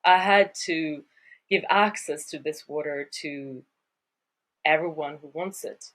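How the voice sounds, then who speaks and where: A woman's voice: deep voice, takes it slowly, fairly bright tone. A woman from Sweden, speaking English as a guest on a podcast interview, thoughtful and hesitant with frequent fillers. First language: Swedish. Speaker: guest, water surveyor.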